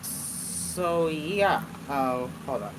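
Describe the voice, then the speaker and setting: Young man, casual online host, halting and repetitive, clear background noise. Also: on the high side, animated. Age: 20s. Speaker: host of an online talk show. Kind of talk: casual live commentary and reading aloud.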